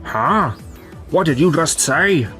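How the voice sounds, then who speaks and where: Guy doing a worried, hesitant young sales guy from a manga dub, kinda high voice, soft music under. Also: expressive, takes it slowly, exaggerated voice.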